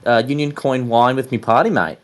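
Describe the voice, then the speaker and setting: A man's voice: mid-range voice, a little fast. A man playing a tabletop roleplay game, bantering casually in character in a chatty, matey manner. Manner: chatty, matey, animated, saying "mate" often.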